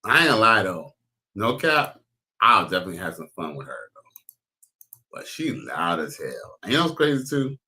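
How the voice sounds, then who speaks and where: A man with a very deep baritone gives loud, crude, slang-heavy reaction commentary. His voice is low yet bright and forceful. He hosts the talk in a casual way. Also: big pitch swings, moderate pace. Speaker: commentary host.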